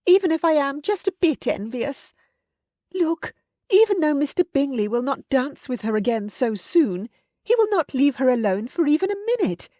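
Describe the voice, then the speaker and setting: Woman reader as a gossipy young lady, high and breathless, sound close and dry. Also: big pitch swings, normal speed, dark tone, no echo. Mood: gushing.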